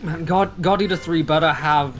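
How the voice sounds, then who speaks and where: A man's voice: on the high side, normal speed. A man hosting a co-op let's play, chatting casually and rambling through self-corrections about the game. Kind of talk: casual co-op gameplay chat.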